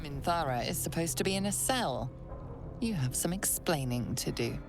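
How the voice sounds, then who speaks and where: A woman acting as narrator of a dark fantasy game, composed, measured and portentous. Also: low voice, animated, over loud music. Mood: dry. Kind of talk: scripted second-person game narration.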